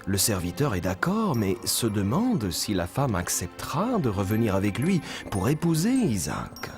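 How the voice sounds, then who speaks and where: Deep, expressive cartoon storyteller, kindly. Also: male voice. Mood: storytelling. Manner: measured, expressive, instructive narration. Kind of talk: dubbed cartoon storytelling for children. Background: music behind the voice.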